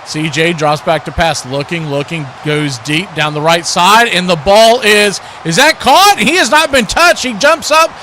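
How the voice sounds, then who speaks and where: High, bright-voiced male play-by-play commentator. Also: unhurried, few pauses, slight background noise. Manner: excitable, calling action as it happens. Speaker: play-by-play host. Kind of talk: live football play-by-play commentary.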